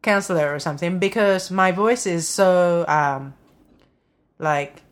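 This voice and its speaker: Giggly woman co-host, halting English. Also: on the low side. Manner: halting non-native English, giggly, many fillers. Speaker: podcast co-host. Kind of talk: casual chat.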